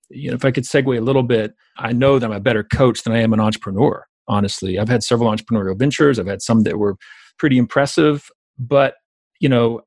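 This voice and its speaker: Southern US male coach and speaker, very quick, emphatic interview delivery, close dry sound. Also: mid-range voice, darkish tone, dry recording. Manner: emphatic, repeats phrases for stress. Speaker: business coach, speaker and author being interviewed. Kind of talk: conversational interview, storytelling.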